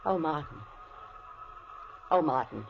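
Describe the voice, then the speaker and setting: An actress playing an anxious, emotional wife in an old-time radio mystery, with breathless, melodramatic delivery. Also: female voice, sits low, expressive, slow, vocal fry, heavily acted. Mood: torn, pleading. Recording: muffled, noisy vintage radio recording.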